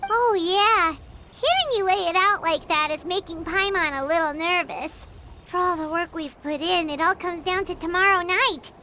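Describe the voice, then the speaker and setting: Chatty little companion sprite. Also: female voice, very high voice, moderate pace, bright, forceful tone, slight background noise. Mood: nervous. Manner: refers to herself in third person, exclamatory. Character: small floating female companion, chatty and excitable.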